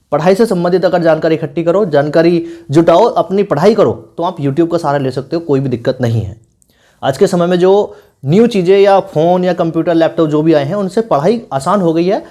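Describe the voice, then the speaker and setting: Exam prep teacher, medium pitch, explains stuff informally, repeats points and keeps checking in. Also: darkish tone. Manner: repetitive, instructive, frequent check-in tags. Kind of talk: informal exam coaching advice.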